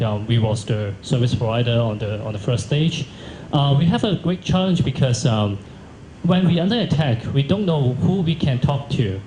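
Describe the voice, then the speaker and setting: An echoey function-room recording of a man with a Hong Kong accent giving panel remarks. He speaks haltingly and repeats words, with faint noise behind him. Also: medium pitch, mellow. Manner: halting, repeats words, non-native phrasing. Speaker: panelist from a cloud service provider. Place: multi-purpose function room at a conference.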